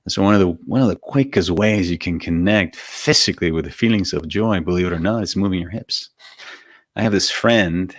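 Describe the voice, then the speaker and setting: A man's voice: deep voice, a little fast. A man with a Venezuelan accent, hosting a live stream and chatting informally and encouragingly before a guided meditation. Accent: Venezuelan Spanish. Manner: informal, gently encouraging, chatty asides to viewers. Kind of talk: casual spiritual talk before a guided meditation.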